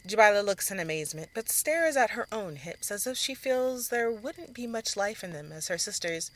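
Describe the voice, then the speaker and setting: A woman's voice: mid-range voice, animated, normal speed, a bit bright. A woman narrating a scripted audio drama as a dramatic storyteller.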